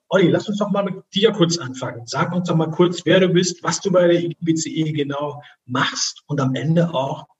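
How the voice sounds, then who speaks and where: A cheerful man hosts a casual podcast chat and draws his guests out. His voice sits a little high. The audio is dull and lo-fi. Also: a little mellow. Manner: upbeat and inviting. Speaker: podcast host.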